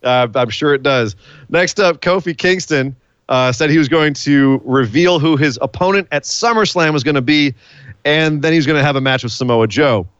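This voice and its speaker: Male podcast co-host, mid pitch, playful and animated, dry dull home-mic sound. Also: moderate pace, clean tone, no room sound. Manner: animated, playful, hypes announcements. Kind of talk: casual wrestling podcast chat.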